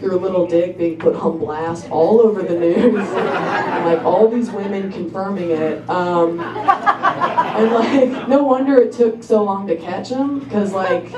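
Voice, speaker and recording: A young woman performing a stand-up comedy set with a laid-back, halting delivery full of ums. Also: medium pitch, lo-fi sound. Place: comedy club stage. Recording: roomy club recording from a distance, crowd noise. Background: audience laughter.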